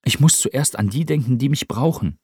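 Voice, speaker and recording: A man voicing a friendly, caring young man in an audiobook, with an earnest, warmly insistent manner. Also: medium pitch, expressive, normal speed, slightly rough, no echo, studio quality, pitched above the performer's own voice.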